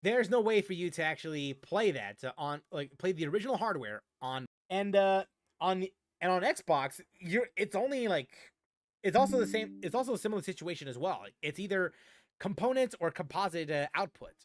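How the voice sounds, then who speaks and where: A man's voice: high voice, lively intonation, normal speed, dry recording, studio quality. A young man hosting a gaming channel, talking casually and rambling with many fillers and restarts. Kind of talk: casual unscripted vlog talk.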